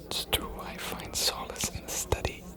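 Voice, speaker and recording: Man playing an aloof old vampire scholar, whispering. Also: lightly acted. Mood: reserved, musing. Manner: whispered, deliberate, hesitant, formal. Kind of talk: ASMR roleplay.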